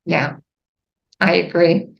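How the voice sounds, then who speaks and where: A woman hosting a casual chat, talking earnestly about her treatment with many pauses and ums. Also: on the low side, steady tone, gravelly, lo-fi sound. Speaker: host. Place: lives in Quebec.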